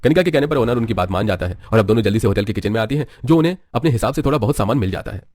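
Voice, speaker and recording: A man's voice: on the low side, animated, racing, darkish tone. A man narrating a scripted bedtime story as a storyteller. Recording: music behind the voice. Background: background music.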